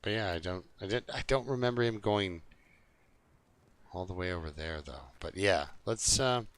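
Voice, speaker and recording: Low-voiced man streaming a game. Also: expressive, normal speed, fairly bright tone. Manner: joking, easygoing. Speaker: live streamer playing a survival game. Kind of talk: casual rambling game commentary to viewers.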